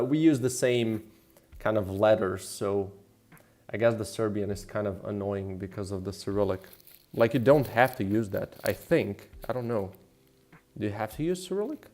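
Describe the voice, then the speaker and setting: A man chatting casually as he works on a livestream, rambling and thinking out loud. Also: on the low side. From Slovenia. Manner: rambling, thinking aloud, mildly profane. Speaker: game developer and streamer. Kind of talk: casual livestream chat while working. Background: background music.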